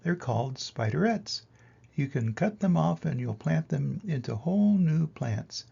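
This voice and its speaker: Older guy reading a kids book, raspy and creaky, dramatic pauses, bit of a dull recording. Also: a little high. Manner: expressive, dramatic pauses and emphasis. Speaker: reader of children's picture books. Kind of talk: animated storybook read-aloud.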